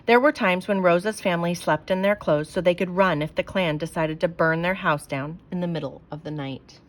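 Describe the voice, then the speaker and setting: A woman's voice: on the low side, fairly quick. Woman reading a children's book aloud. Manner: clear, expressive storytelling.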